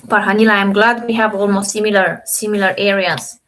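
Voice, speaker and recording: A woman's voice: medium pitch, flat delivery, brisk, a bit bright, slight background noise. A woman from Romania hosting a trading live stream, chatting casually with viewers and thinking aloud over the charts.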